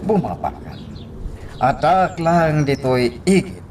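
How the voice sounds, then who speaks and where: A man's voice: on the high side, sing-song, slow. An actor playing a man in an Ilocano family love drama on radio, with an Ilocano accent. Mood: matter-of-fact. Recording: loud outdoor vehicle noise behind.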